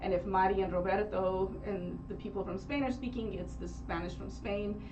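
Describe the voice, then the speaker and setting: A woman's voice: medium pitch, fairly even intonation, moderate pace, highs cut off. A woman author talking earnestly and reflectively in an interview, laughing often. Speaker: author guest. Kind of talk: interview conversation. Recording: distant mic with noisy background.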